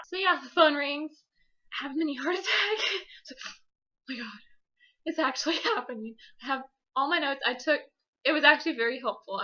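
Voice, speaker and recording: A woman's voice: on the high side, bright, forceful tone, muffled recording. A young adult woman telling a personal story casually as a vlogger, chatty, giggly and self-deprecating.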